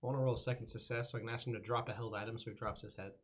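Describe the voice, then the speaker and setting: Fast-talking, slightly husky man. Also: mid-range voice, fairly even intonation. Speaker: tabletop game player. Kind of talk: casual chat during a tabletop game.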